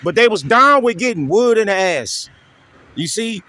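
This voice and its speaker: Guy ranting like a street preacher, kinda high voice, keeps saying you see. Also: lively intonation, normal speed, bright, forceful tone. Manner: repetitive and taunting, keeps asking "you see?". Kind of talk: profane preaching rant.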